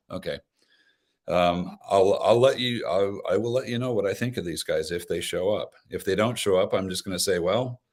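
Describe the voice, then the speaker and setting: Low-voiced rambling man. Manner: rambling, self-interrupting, joking. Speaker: guest. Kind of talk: casual chat about concerts.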